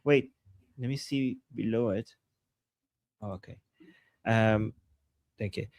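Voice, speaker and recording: A man from Iran co-hosting a casual livestream, reading viewer messages with frequent chuckles and halting restarts. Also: lowish voice, a little mellow, no room sound, clean studio sound. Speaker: co-host reading viewer chats.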